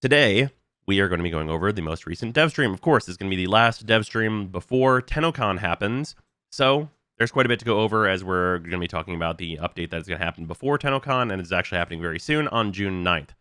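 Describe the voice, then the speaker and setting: A man's voice: deep voice, animated, quick pace, sharp tone, clear, resonant tone, dry recording, clean studio sound. A young man with a stuffy, nasal voice from a recent illness, casually talking through a game update with frequent fillers. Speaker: gaming video creator.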